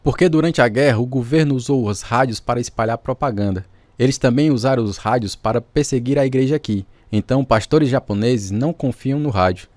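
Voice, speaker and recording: An actor playing a resolute, devout pastor in a radio drama, speaking in a solemn, formal way. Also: male voice, medium pitch, fairly quick, darkish tone, no room sound, natural voice. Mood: solemn resolve. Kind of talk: scripted radio drama dialogue.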